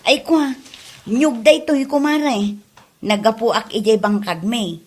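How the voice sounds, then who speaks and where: A woman acting a chatty rural Filipino wife and mother in a comedy drama, with an Ilocano accent and an expressive, drawn-out colloquial way of talking. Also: fairly high pitch. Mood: casual, explaining.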